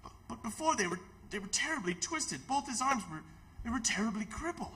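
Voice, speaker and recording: A man's voice: very high-pitched, animated, a little fast, sharp tone, exaggerated voice. An actor playing a tearful, awestruck new father, stammering and repeating himself in old-time radio theatre. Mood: tearful astonishment.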